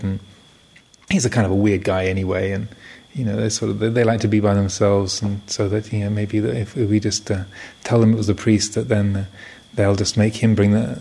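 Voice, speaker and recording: A man's voice: fairly low pitch, gravelly, darkish tone. A man voicing a young fisherman, nervously laying out a scheme in a hesitant, conspiratorial way.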